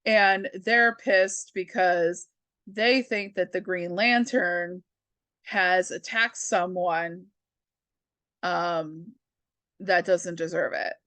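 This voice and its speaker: A woman's voice: fairly low pitch, steady tone, drawn-out words, a bit bright, no room sound. A woman hosting a comics review, retelling a story in casual, slangy, chatty language and slipping into the characters' dialogue. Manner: slangy and informal, acts out characters' dialogue in own voice. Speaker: host of a comics review show.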